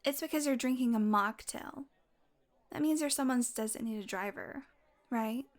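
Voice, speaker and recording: A woman acting as a brash female vampire in an intimate audio roleplay. Her voice sits on the high side, and she talks with teasing sarcasm, swearing casually and flirting as she goes. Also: moderate pace, close to an everyday voice. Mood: flirty, playful. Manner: sarcastic, playful, casually profane.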